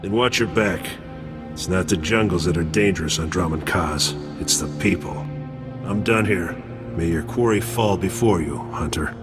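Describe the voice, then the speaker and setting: A man's voice: low-pitched, moderate pace, close to an everyday voice. An actor playing a wary male bounty hunter who warns a rival about a dangerous world. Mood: grim. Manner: gloomy, warning. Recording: game audio with music.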